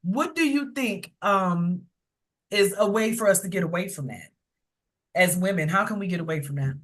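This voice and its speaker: A woman with a low-pitched voice hosts a live chat. She speaks casually and colloquially, in an affirming manner. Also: normal speed. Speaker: host of a live book launch.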